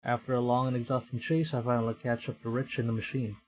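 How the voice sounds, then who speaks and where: A man's voice: mid-range voice, flat delivery, fast talker. A male streamer reads a visual novel aloud in a mid-range voice. His narration is dramatic, and he drops into casual commentary between passages. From Philippines.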